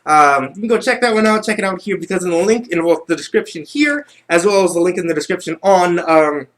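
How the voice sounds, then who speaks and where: A man with a slightly high voice is chatting as the host of a livestream. He talks casually and rambles, doubling back over his own phrases. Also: animated, fast, fairly bright tone. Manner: rambling, repeats himself.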